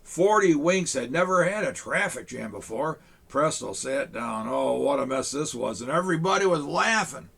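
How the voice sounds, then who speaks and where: A man reading a children's picture book aloud, with chuckling, animated asides to young listeners. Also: on the high side, moderate pace, gravelly, few pauses, breathy edge. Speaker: storyteller in a Santa persona.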